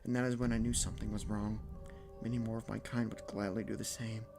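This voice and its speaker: A man voicing a hulking male spider monster that speaks in a low, hushed, unhurried way. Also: level tone, creaky, music in the background. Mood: calmly menacing.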